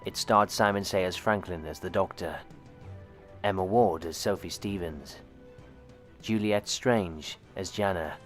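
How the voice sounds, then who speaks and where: A man's voice: deep voice, a little slow. A man reading scripted end credits for an audio drama as its announcer. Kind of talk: scripted narration. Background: music.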